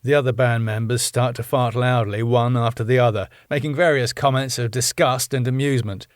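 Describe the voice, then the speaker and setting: A man reading his own sitcom script aloud, stage directions included, with a lively delivery. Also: mid-range voice, a little fast, dry recording, studio quality.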